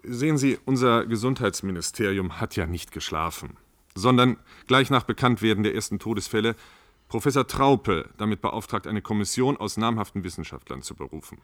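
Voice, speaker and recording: An actor playing a reassuring, bureaucratic health official in a radio play, speaking in a formal, deliberate way. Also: male voice, on the low side, expressive, a bit bright, dry recording. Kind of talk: German radio play staged as a panel discussion.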